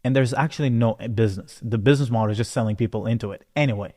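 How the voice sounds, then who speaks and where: A man with a mid-pitched voice speaks in long, hall-like reverb. He presents as an entrepreneur telling his life story in a motivational way, conversational but emphatic, often tagging points with short check-in questions. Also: racing, mellow. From born in Rwanda, moved to Canada at thirteen.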